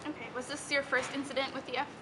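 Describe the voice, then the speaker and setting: A woman's voice: high voice, flat delivery, fast talker. A young woman interviewing a student, asking prepared questions in a casual, hesitant way full of "like" and "okay". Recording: background noise.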